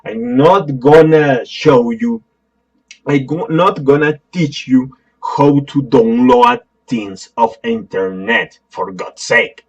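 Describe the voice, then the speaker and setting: A man with a Spanish accent, a native Spanish speaker, giving a software tutorial in a halting, apologetic way with many fillers and restarts. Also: medium pitch, big pitch swings, muffled recording. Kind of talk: screen-recorded tutorial.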